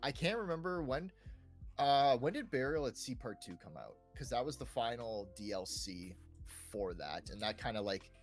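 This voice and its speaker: A man's voice: medium pitch, expressive, normal speed. Man hosting a casual podcast over music. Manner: chatty, frequently tagging sentences with "you know" and "right". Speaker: podcast host.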